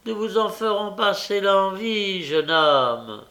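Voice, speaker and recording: A man's voice: high voice, big pitch swings, takes it slowly, pushed and bright, pitched above the performer's own voice, heavily acted. A man voicing a sly, mocking old gang master in an audiobook story, wheedling and menacing by turns. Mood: mocking threat.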